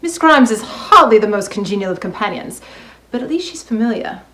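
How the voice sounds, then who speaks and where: A woman acts a genteel lady librarian in a radio-play style reading. She is prim and reserved, with a high, expressive voice in an echoey room. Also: fairly quick, a little hiss or hum. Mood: private musing. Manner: polite, proper, hesitant.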